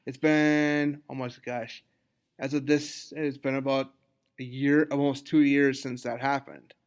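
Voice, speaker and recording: A man with an American accent hosting a podcast, chatting casually in a halting, conversational way. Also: medium pitch, level tone, clear, resonant tone. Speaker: podcast host.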